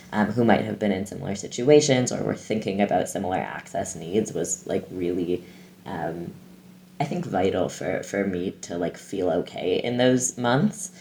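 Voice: sits low, a little mellow, slight background noise. A young adult in their twenties, answering interview questions thoughtfully and hesitantly over a video call. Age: 20s. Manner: thoughtful, hesitant, many fillers. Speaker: guest.

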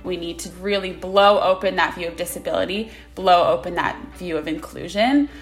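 Earnest young woman guest, quick talker. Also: on the low side, soft background music. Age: 20s. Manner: earnest, fast-talking. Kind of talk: casual interview answers.

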